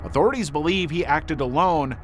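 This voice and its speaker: A man reading scripted true-crime documentary narration in a measured, serious manner. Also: a little high, soft background music.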